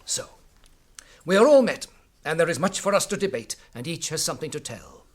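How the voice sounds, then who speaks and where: A wise elf lord, fairly high voice, solemn and measured, radio drama. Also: male voice, sing-song. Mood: formal welcome. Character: wise, grave elven lord presiding over a council.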